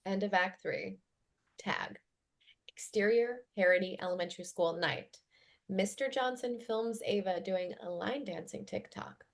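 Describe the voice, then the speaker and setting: A woman's voice: mid-range voice, unhurried, creaky. A woman reading out stage directions as scripted narration at a table read.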